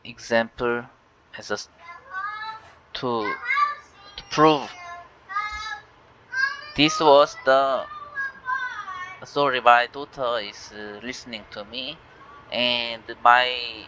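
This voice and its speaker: Slow halting Korean man, lo-fi. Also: medium pitch, steady tone. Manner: halting, many fillers and restarts. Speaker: tech channel host. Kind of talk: casual screen-recorded walkthrough. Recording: dull lo-fi voice with faint hiss.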